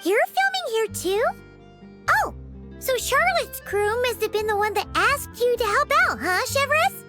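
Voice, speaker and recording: A woman voicing a tiny, perky, caring fairy companion in a video game, with a squeaky childlike voice and chirpy manner. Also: animated, unhurried, music in the background. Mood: excited. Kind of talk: English video game voice acting.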